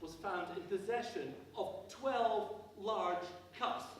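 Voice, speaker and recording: An amateur actor, a man, playing a deferential underling who gives halting, theatrical reports in a stage pantomime. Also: high voice. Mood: dutiful. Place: indoor stage in a large hall. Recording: echoey noisy hall, distant, crowd behind.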